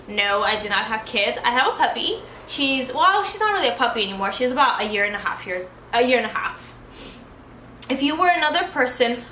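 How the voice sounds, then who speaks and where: A woman's voice: a little high, bright, forceful tone, a little hiss or hum. A young woman, born in Ukraine and raised in the United States, chatting casually to camera as she answers tag questions, with lots of likes and ums. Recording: echoey room.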